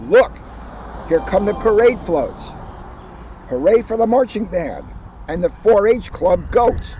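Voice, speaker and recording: A male police officer reads a children's picture book outdoors, his voice a little high but mellow and soft-edged. His storytelling livens up on the quoted lines. The recording is dull and lo-fi with a faint hum of traffic behind. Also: big pitch swings, slow pace. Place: outdoors on a hill at a historic house.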